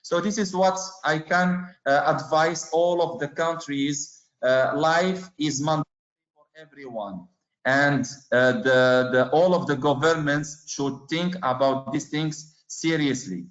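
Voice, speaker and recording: Halting man from Morocco on a call. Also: fairly high pitch, a bit bright. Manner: halting, many fillers and restarts. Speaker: supply chain panelist. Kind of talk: panel discussion remarks. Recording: roomy, muffled online call audio.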